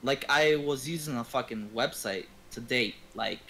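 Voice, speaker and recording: A man's voice: medium pitch, normal speed, a bit bright. A man from Ontario, chatting casually as a game streamer in a rambling, slangy, swear-heavy way. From Ontario, Canada. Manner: rambling, slangy, profanity-laden. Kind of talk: casual profane chat.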